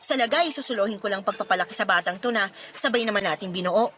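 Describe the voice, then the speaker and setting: A woman's voice: medium pitch, fast, bright, forceful tone, a little hiss or hum, lightly acted. A woman playing a hurt, pregnant young woman confronting her friend in an emotional Filipino radio drama. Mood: hurt, indignant. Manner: emotional, pleading and reproachful. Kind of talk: radio drama acting in Tagalog.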